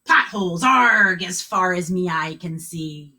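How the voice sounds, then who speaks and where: A woman voicing a boastful, gruff male pirate bus driver who talks in pirate slang and growls "arg". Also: fairly low pitch, expressive, unhurried, sharp tone, distant mic, heavily acted.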